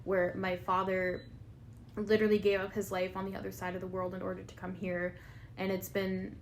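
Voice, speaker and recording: A young Canadian woman speaks in a thoughtful, reflective way, and her mid-range voice frays into a gravelly fry. A faint background hiss sits under the recording. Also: medium pitch, completely flat delivery, moderate pace. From Filipino family, raised in Canada. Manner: reflective, gives frequent "mm-hmm" backchannels. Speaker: podcast co-host. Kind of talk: casual conversation.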